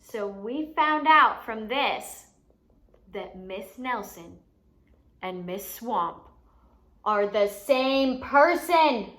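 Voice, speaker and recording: A woman's voice: a little high, expressive, drawn-out words, a bit bright. A woman hosting a lesson for young children, explaining things simply and expressively and putting questions to the listener. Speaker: children's teacher and show host.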